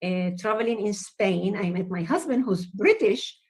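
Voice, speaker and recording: A woman from Venezuela, a psychotherapist guest in an interview, talking about her background in a lively, open way. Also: mid-range voice, normal speed. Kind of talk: interview conversation.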